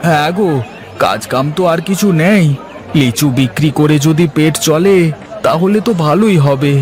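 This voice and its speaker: A man's voice: fairly high pitch, animated, normal speed, soft background music, some chatter behind, close to an everyday voice. A man playing a poor, humble village father in a cartoon fairy tale, speaking earnestly with a plaintive edge. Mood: eager.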